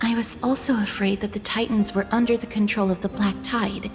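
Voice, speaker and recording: A woman's voice: mid-range voice, steady tone, a little fast, close to an everyday voice. An actress playing a young woman scholar in a video game, polite, inquisitive and logical, speaking in an earnest, precise, articulate way. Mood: earnest, inquiring. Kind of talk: scripted video game voice acting. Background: faint game music.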